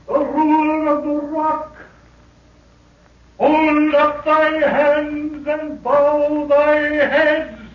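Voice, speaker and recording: A man's voice: very high voice, full character voice. An actor playing a solemn male herald of an ancient city in a 1930s radio drama, declaiming in archaic, ceremonious speech. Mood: proclaiming. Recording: roomy, distant, dull old radio recording.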